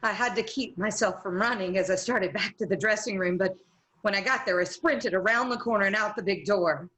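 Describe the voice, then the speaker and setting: A woman's voice: medium pitch, fairly quick, a bit bright, lightly acted. A woman playing a secretive studio employee in a 1940s radio noir, narrating in a confessional way. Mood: tense, confiding. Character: scheming young female studio employee, secretly complicit in murder.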